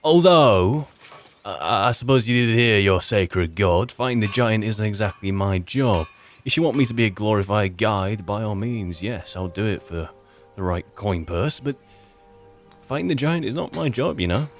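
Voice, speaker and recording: A man's voice: lowish voice, animated, normal speed. Wry man roleplaying over game music. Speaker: roleplay video maker and player. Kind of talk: in-character improvised game roleplay commentary.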